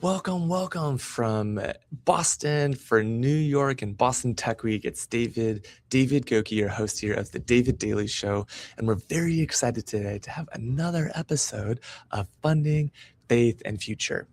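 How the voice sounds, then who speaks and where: Upbeat male host with a gravelly edge. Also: medium pitch, normal speed, darkish tone. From United States. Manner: upbeat, promotional, eager. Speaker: podcast host. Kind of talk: live-streamed interview show.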